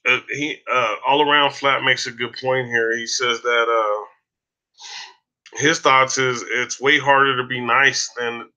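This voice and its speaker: A man hosting a casual podcast, rambling with many restarts, his voice going hoarse from a dry throat. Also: medium pitch, fairly even intonation, bright, forceful tone. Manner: rambling, halting with repeated restarts. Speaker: podcast host. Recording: dull lo-fi sound.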